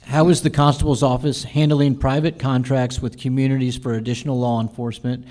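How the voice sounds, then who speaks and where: A man's voice: mid-range voice, flat delivery, brisk, darkish tone. A man moderating a forum, reading questions to candidates in a formal, courteous manner.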